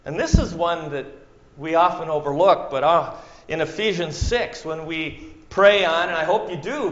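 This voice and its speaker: Male preacher, Minnesota accent, fairly high voice, dramatic delivery, echoey muffled room. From central Minnesota. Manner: dramatic, swings from loud to hushed, acts out quotes. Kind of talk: sermon.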